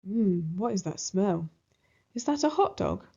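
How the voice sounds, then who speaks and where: A woman's voice: mid-range voice, very animated, measured pace, creaky, mellow, lo-fi sound, heavily acted. A woman voicing a young, hungry, scared girl rat in a plaintive storybook voice. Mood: hungry, curious. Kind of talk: children's storybook character voice.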